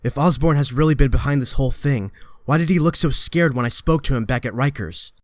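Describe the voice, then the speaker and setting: A man's voice: medium pitch, a little fast, dry recording, close to an everyday voice. A man playing an earnest, rattled young superhero in a comic book dub, disbelieving and confrontational. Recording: dry close recording, faint music behind.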